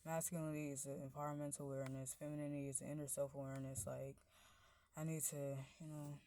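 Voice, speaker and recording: A woman with a deep voice, rambling through a casual monologue, padding every phrase with "like" and "you know" while her pitch and loudness wander. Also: little pitch movement, fast talker, slight background noise.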